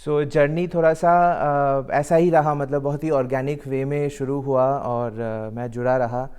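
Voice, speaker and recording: A man's voice: medium pitch, fairly even intonation, unhurried, darkish tone, clean tone. A man raised in Delhi, answering interview questions in a hesitant way, with many fillers and English phrases mixed in. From Delhi, India. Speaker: guest artist.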